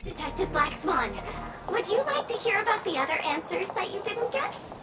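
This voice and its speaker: A woman's voice: extremely high pitch, sing-song, normal speed, far from the mic. A woman voicing a playful, mischievous young female sheriff in a video game, melodramatic and teasing in her delivery. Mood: breezy. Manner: melodramatic, teasing, mock-impressed. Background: music.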